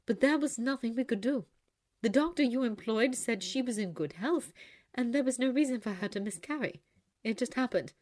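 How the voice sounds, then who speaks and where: A woman's voice: on the high side, animated, moderate pace, darkish tone, voice pushed up. A woman voicing a reserved, guarded young woman in a storybook reading, speaking in short, terse lines. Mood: somber.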